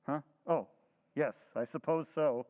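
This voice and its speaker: A man's voice: on the high side, unhurried, lightly acted. A man playing a weary, evasive husband who answers tersely and impatiently in an old-time radio drama recreation. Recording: tinny phone-like sound.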